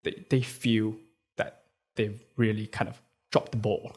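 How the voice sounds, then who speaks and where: A man's voice: on the low side, flat delivery, a little slow, darkish tone, husky. A man hosting a podcast who reads a scripted introduction and then chats with his guest in a friendly way, using many fillers like "uh," "right?" and "like.". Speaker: podcast host.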